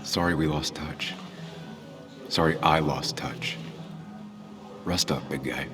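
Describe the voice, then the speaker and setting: An actor playing a guarded former journalist in a video game, speaking tersely with hesitant, dry sarcasm. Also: male voice, very deep voice, takes it slowly, music in the background. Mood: regretful.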